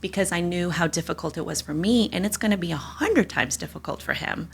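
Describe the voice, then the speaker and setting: A woman's voice: lowish voice, brisk, creaky, slight background noise. A woman, a guest in a casual interview, speaking candidly with many fillers and restarts. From United States.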